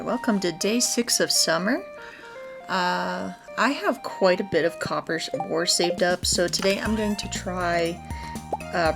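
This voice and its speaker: A woman's voice: fairly low pitch, vocal fry. A woman giving casual let's play commentary as a gaming video host, talking through what she is doing as she goes. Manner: narrates own actions aloud. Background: game music.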